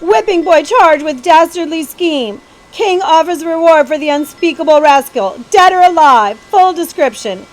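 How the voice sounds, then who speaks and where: A woman voicing an urgent, sensational male street news seller in a storybook, crying out piercingly in drawn-out hawker's calls. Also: extremely high pitch, expressive, voice pushed up, low-quality recording. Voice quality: piercing, crow-like cry. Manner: loud drawn-out hawker's cries.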